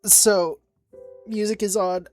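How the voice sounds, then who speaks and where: A young woman chatting casually about her tabletop game character, halting with many restarts and little giggles. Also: medium pitch, fairly even intonation, darkish tone, faint music behind. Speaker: player recounting a tabletop campaign. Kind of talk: casual rambling chat.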